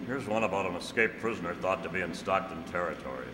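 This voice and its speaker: A man's voice: deep voice, fast talker, natural voice. An older man playing a resolute, earnest masked cowboy hero in a staged old-time radio drama. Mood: grave, thoughtful. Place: stage in a hall. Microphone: stand microphone. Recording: echoey hall, noisy room ambience.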